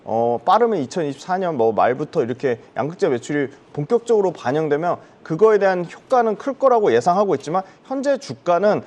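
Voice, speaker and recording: A man's voice: a little high, sing-song, a little fast, few pauses, darkish tone. A man giving explanatory, emphatic stock commentary as a guest analyst on a broadcast.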